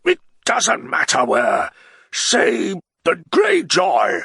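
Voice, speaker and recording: A man voicing a moody, blustering middle-aged nobleman who draws out his words and sometimes shouts. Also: a little high, wildly swooping pitch, vocal fry, slightly rough, pitched above the performer's own voice, heavily acted. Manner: loud, drawn-out, exclamatory delivery, sometimes shouting.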